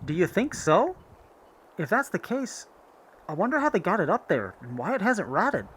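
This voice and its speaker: A man's voice: high-pitched, moderate pace, breathy edge, heavily acted. Man playing a swooping, naive young companion. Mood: curious, naive. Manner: wondering, guileless, excitable. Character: naive, gullible, eager young male companion. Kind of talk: post-apocalyptic audio drama acting.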